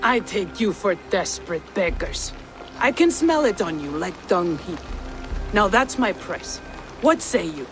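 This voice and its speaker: An actress playing a haughty, threatening trader in a video game, with a scornful, sharp-tongued manner. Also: female voice, mid-range voice, big pitch swings, measured pace, music in the background. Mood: contemptuous. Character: haughty, threatening female trader serving a king.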